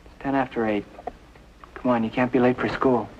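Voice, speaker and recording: A man's voice: mid-range voice, fairly even intonation, normal speed, lightly acted. An actor playing an anxious, impatient man fretting over a boy in a 1950s television drama. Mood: exasperated, scolding. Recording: muffled vintage TV soundtrack, faint noise.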